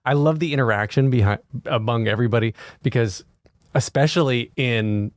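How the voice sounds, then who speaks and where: A man's voice: medium pitch, fairly quick, dry recording, studio quality. A male podcast host in casual interview chat, enthusiastic and chatty, often repeating words as he talks.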